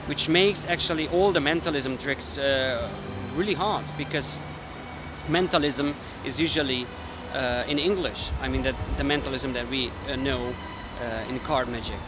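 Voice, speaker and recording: A man's voice: mid-range voice, moderate pace, over music. A man with a Hungarian accent, a mentalist explaining his card tricks casually to the camera while thinking aloud. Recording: street noise with passing traffic.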